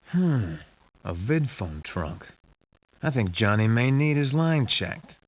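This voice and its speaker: A man's voice: medium pitch, very animated, slow. A man playing a wry hard-boiled detective who narrates a sci-fi adventure game in a dry, dramatic, scripted noir style. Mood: wry, musing. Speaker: game narrator and lead character. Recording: muffled lo-fi game audio with hiss.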